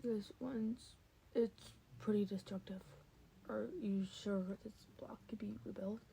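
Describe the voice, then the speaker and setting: A woman plays an unsure young male hero in an amateur story reading. The voice is very soft-edged and dark, halting and self-conscious, with faint noise in the recording. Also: medium pitch, steady tone, creaky, poor audio. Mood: nervous. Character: nervous, hesitant young male hero with ghost powers. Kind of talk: fan fiction podfic character voice.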